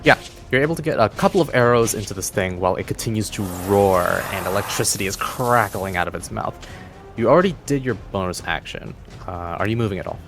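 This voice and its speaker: A man's voice: on the low side, animated, quick pace, fairly bright tone. A man working as game master, narrating a tabletop game in a dramatic storytelling style. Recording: faint music behind the voice. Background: faint music.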